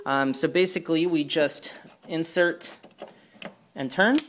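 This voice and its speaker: A man presenting equipment in an informal hands-on walkthrough, speaking hesitantly and pausing mid-sentence. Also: on the high side, a bit bright. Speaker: presenter demonstrating church sound equipment. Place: carpeted indoor room. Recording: clear background noise, handheld camera mic.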